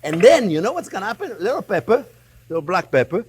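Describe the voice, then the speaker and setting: A man's voice: high voice, very animated, fast, darkish tone, a little hiss or hum. A man from France with a French accent, hosting a cooking demonstration in a home kitchen, chatty and enthusiastic, calling his viewers friends. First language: Italian. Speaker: chef host.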